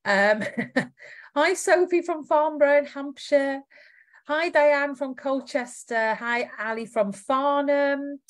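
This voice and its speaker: A woman from Wales with a faint Welsh accent, hosting a casual live stream, chatty and lively as she greets viewers and reads out their comments. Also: high-pitched, slow.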